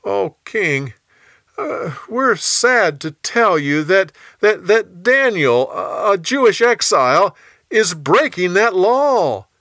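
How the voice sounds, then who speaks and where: Man putting on a flattering, conniving royal official for a Bible tale; high, bright voice, oily drawn-out delivery. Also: no echo, studio quality, heavily acted. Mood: feigned concern. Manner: fawning, drawn-out, feigned reluctance with stammers. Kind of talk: dramatized Bible storytelling.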